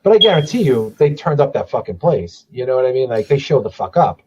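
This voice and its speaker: A man in his forties, a podcast guest, chatting casually with a voice that is going hoarse from a cold, swearing freely and rambling with lots of restarts. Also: mid-range voice, big pitch swings, quick pace, lo-fi sound, noisy background. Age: 40s. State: losing his voice, starting a cold. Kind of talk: casual chat.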